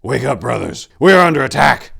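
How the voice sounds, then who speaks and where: A man's voice: mid-range voice, sing-song, brisk, creaky, pushed and bright, husky, voice pushed up, exaggerated voice. A man voicing an embattled warrior monk in a storybook, crying out urgent commands to his brothers. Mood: urgent, commanding. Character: male warrior monk rallying brothers under attack.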